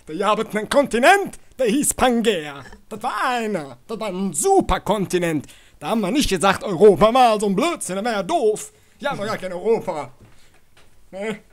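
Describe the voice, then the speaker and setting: A man's voice: high voice, big pitch swings, moderate pace, pitched above the performer's own voice. A man voicing an ancient, rambling old-timer reminiscing in an improvised radio parody. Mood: nostalgic, grumbling.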